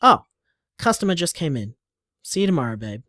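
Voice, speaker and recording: A man's voice: fairly high pitch, very animated, a little fast, fairly bright tone. A man playing an affectionate, playful young bar owner, chatting casually as if leaving voicemails in an audio drama. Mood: tender, reflective.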